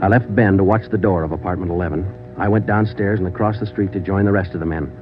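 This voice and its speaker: A man's voice: deep voice, fast, natural voice. A man playing a laconic American homicide sergeant, narrating a 1940s radio crime drama in a clipped, matter-of-fact way. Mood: deadpan. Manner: deadpan, terse, matter-of-fact. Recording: dull 1940s radio recording, music behind.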